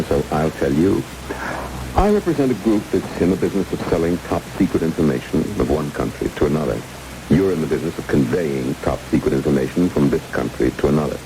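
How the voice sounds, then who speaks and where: A very low, creaky-voiced kidnapper from an old radio play. He speaks with smooth, unhurried courtesy that carries menace. Hiss and rain sit clearly over the broadcast. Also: animated, full character voice. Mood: smooth, controlled. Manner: unhurried, mock-genial, menacing politeness. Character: smooth, courteous kidnapper who sells state secrets. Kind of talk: vintage radio drama acting.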